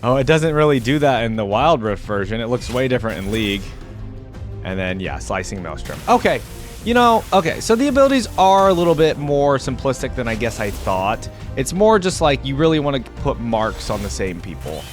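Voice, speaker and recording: Animated man giving casual gaming commentary. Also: medium pitch, a little fast, faint music behind. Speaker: reaction video host.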